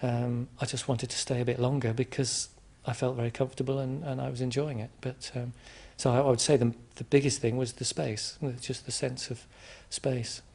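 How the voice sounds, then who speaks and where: A man from England answering interview questions in a hesitant, reflective way, with frequent restarts and pauses. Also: medium pitch, level tone, creaky, darkish tone. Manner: hesitant, many restarts and ums. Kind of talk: conversational interview.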